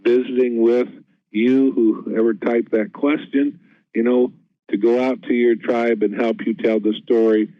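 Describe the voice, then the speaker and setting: A man giving measured, informational remarks as a panelist on a webinar. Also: medium pitch, steady tone, no echo. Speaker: panel speaker for an organization. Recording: dull, band-limited webinar audio.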